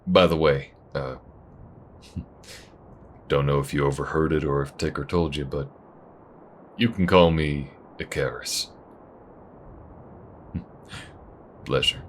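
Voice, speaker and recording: A man playing a wary, protective chop shop owner in a space western audio roleplay, talking slowly and intimately in folksy phrases. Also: rumbling low, steady tone, a little hiss or hum. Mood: friendly. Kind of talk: audio roleplay acting, spoken intimately to the listener.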